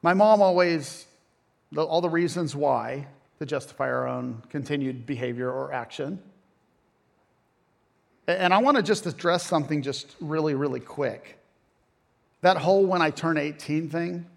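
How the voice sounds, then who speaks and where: A man's voice: fairly high pitch, moderate pace, creaky, long pauses. A middle-aged man preaching a conversational sermon, casual and self-deprecating with joking asides.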